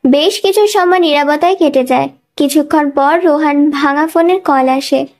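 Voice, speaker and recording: A woman's voice: high-pitched, moderate pace, a little mellow. A woman reading scripted narration of a dramatic thriller story as a storyteller.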